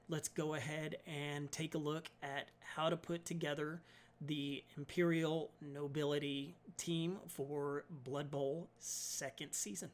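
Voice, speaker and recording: A chatty man walks viewers through building tabletop miniatures, his voice a touch higher than most men's. Allergies leave him slightly raspy and softer than usual. He fills his sentences with ums and uhs. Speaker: miniatures hobby YouTuber. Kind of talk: casual hobby tutorial.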